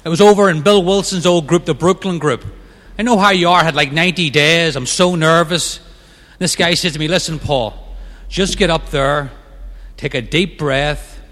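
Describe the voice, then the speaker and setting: A middle-aged man with a Northern Irish accent, telling his recovery story as main speaker at a meeting, quick and self-mocking. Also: a little high, fairly bright tone, in a large hall. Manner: fast-talking, self-mocking humor. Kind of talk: personal recovery talk.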